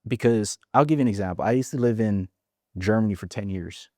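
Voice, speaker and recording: Guy from hawaii, forties, low mellow voice, chatty and candid, dry close mic. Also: a little fast, dry recording. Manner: repeats words and restarts, frank and candid. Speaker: podcast guest. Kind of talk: casual interview storytelling.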